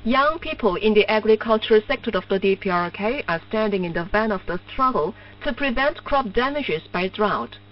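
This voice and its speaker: A woman with a Korean accent reading formal scripted news over shortwave radio in a measured, stiffly official manner. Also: mid-range voice, distant mic. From North Korea. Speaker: newsreader. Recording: muffled noisy shortwave radio with hum.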